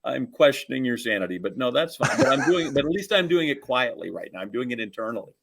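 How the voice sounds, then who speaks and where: A man's voice: medium pitch, sing-song, quick pace, creaky, fairly bright tone. A middle-aged man co-hosting a podcast, chatting casually about sports with dry, teasing sarcasm. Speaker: podcast co-host.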